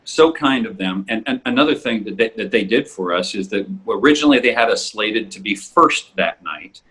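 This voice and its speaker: Male podcast host, lowish pitch, husky edge, casual chat. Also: expressive, moderate pace, a bit bright.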